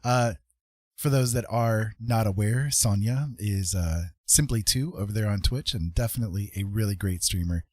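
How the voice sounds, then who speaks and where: A man hosting a casual livestream, chatting to viewers in a rambling, playful, husky voice. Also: fairly low pitch, normal speed, darkish tone, dry recording, studio quality. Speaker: livestream host. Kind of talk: casual chat to live viewers.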